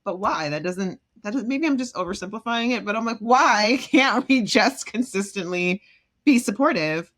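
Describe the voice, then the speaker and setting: Podcast guest: a woman from Jamaica, expressive, acts out voices, mid pitch. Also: moderate pace. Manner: expressive, acts out quoted voices, laughs often. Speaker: guest, self-esteem expert. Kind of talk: animated podcast conversation.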